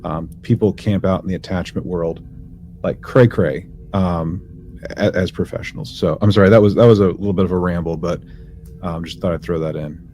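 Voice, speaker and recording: A man co-hosting a podcast, explaining things in a halting, informal, slangy way. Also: sits low, steady tone, dark tone, a little hiss or hum. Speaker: podcast co-host. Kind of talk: casual explanatory podcast chat.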